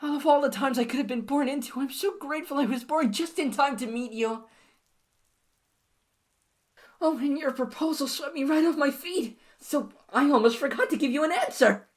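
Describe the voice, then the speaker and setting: A woman voicing an obsessive, clingy young lover in an audio roleplay, speaking softly and pleadingly in a husky, whispery voice to the listener. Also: rumbling low, expressive, normal speed, pauses often, exaggerated voice. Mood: giddy overjoyed.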